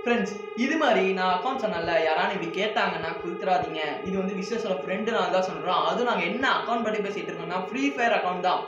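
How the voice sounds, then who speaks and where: A man's voice: sits high, racing, hardly any pauses, over music. A man hosting a vlog, chatting casually to viewers. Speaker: vlog host. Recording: roomy, distant, dull, clearly noisy home recording.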